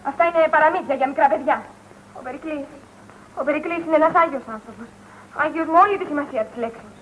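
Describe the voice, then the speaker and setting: A high-pitched, indignant woman played by an actress scolds bluntly and loyally in film comedy dialogue. The old soundtrack is dull, with faint noise. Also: lightly acted.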